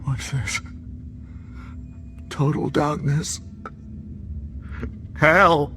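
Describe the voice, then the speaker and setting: A man's voice: on the high side, steady tone, drawn-out words, music in the background. A man acting a weary, wounded middle-aged father held prisoner in a period radio drama. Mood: confused, dazed.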